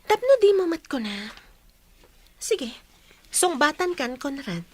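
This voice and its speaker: A woman's voice: high voice, sing-song, slow pace, darkish tone, full character voice. A woman playing a coy young sweetheart in a radio drama. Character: coy, high-voiced young sweetheart being courted. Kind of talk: Ilocano radio drama acting.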